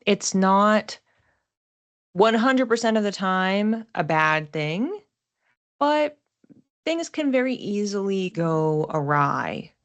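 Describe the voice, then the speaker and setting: A woman with a low-pitched voice talks through an astrological forecast as its host. Her delivery is conversational and explanatory, and she slips into quoting imagined reactions as she goes. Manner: casual, explanatory, acts out imagined thoughts.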